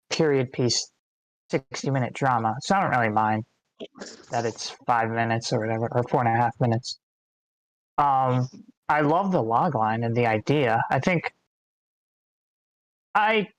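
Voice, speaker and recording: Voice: mid-range voice, no echo, low-quality recording. A speaker with an American accent giving feedback in casual, rambling chat. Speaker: participant giving script feedback. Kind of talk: casual chat, thinking aloud.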